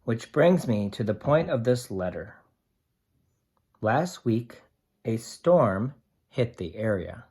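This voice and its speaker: A picture-book reader of ambiguous gender with a mid-pitched voice and very lively intonation. The delivery is slow and deliberate, made for young listeners, with simple explanations set between the lines of the story. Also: breathy edge. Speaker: read-aloud storyteller. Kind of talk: expressive picture-book read-aloud with asides to young children.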